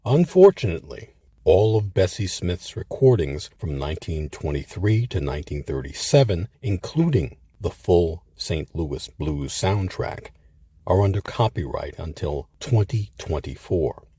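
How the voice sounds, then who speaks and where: A man hosting a history series, reading scripted documentary narration with measured, emphatic phrasing. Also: sits low, big pitch swings, warm tone, husky, no room sound.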